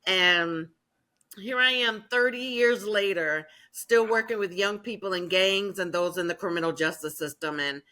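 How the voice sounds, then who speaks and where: Brief: woman in her 50s, earnest storyteller, bright clear tone. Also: medium pitch, normal speed. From Maine. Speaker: youth ministry worker, guest. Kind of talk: interview storytelling.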